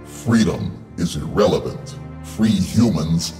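An actor playing a giant, menacing robot overlord in an audio drama, speaking coldly and slowly. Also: male voice, deep voice, big pitch swings, warm tone, echoey room, faint music behind, heavily acted. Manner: cold, slow and menacing.

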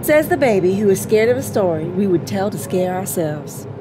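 A woman's voice: mid-range voice, expressive, normal speed, over music, close to an everyday voice, low-quality recording. A woman acting an exasperated, fearless, teasing partner in an audio drama, with a no-nonsense, mocking way of talking. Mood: teasing, dismissive.

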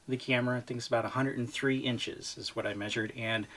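A man's voice: mid-range voice, fairly even intonation, quick pace, a bit bright. Man, 40s, casual review vlogger, rambling, self-deprecating, indoor room, shotgun mic on camera from eight feet. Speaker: host reviewing a microphone. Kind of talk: casual product review vlog. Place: indoor room with storage shelves, fridge hum. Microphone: camera-mounted shotgun mic about eight feet away.

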